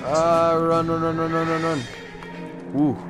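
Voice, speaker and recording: A man's voice: on the high side, monotone, unhurried. A man giving casual, slangy gameplay commentary, playful and exclaiming, with some swearing. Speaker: gamer doing a video game walkthrough. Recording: game music behind the voice. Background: game music and vehicle sounds.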